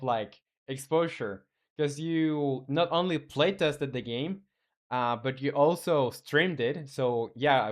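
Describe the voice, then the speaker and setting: Chilean man chatting on a dev stream. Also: a little high. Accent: Chilean Spanish. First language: Spanish. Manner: halting, many restarts and fillers. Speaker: game developer streamer. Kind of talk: casual live-stream chat reading viewer comments.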